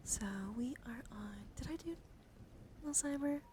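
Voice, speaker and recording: Woman ASMR streamer: gentle, hushed, largely whispered delivery, very unhurried, clearly noisy background. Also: high voice. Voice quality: soft, mostly whispered. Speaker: live streamer. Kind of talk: ASMR, chatting with live viewers. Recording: clearly audible background noise.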